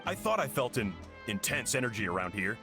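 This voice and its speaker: Jovial cook, music behind. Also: male voice, medium pitch, big pitch swings, a little fast. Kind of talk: video game voice acting.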